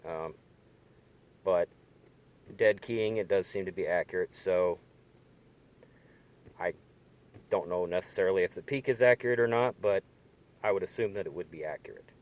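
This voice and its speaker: Male hobbyist demonstrator, low-pitched voice, tentative and halting, informal show-and-tell delivery, handheld camera in a car. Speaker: hobbyist demonstrating radio gear. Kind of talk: casual hands-on demonstration. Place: inside a parked car. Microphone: handheld camera or phone.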